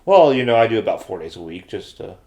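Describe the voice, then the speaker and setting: A man's voice: lowish voice, very animated, fast, a little mellow. A man hosting a podcast in a casual, chatty style. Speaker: podcast host. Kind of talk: casual chat.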